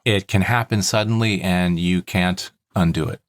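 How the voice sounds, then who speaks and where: A man's voice: low voice, normal speed, no echo, clean studio sound. A man hosting a podcast, explaining things in a casual, methodical way. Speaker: podcast host.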